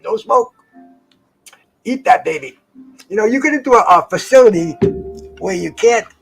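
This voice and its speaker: An older man, in his sixties or beyond, hosting a casual live stream in slangy, profane, halting speech with repeated words. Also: high-pitched, expressive, vocal fry, breathy edge, rough recording. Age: 60s or older. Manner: slangy, profane, says "man" and "bro" often. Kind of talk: rambling casual chat to livestream viewers. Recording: jazz music playing behind the voice. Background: jazz concert recording.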